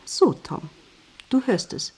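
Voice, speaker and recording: A woman's voice: slow pace, close to an everyday voice. An actress playing a bossy, volatile wife in a radio drama, switching between cooing and scolding in a commanding manner. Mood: lecturing, commanding. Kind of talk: German radio drama acting.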